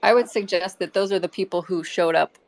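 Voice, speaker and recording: A woman giving unscripted explanations in an online discussion, choosing her words in a careful, halting way. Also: fairly low pitch. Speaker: community representative being interviewed. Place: live online audio room.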